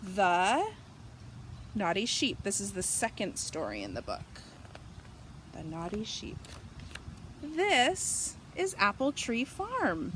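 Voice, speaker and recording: A woman reading a storybook aloud for young children, pausing to explain and ask them simple questions. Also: medium pitch, big pitch swings, fairly bright tone, slight background noise.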